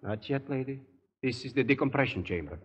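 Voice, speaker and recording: A man's voice: mid-range voice, lively intonation, fairly quick, big-room sound, a little hiss or hum, lightly acted. An actor playing a helpful, plain-spoken working-class tunnel watchman in a 1930s radio drama, matter-of-fact and folksy, on a muffled old recording.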